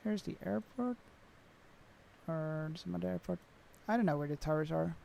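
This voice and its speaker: A man's voice: fairly high pitch, normal speed, creaky, darkish tone, slight background noise. A young man with a Swedish accent, chatting casually on a flight sim livestream and reading out viewer comments, with many fillers. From Sweden.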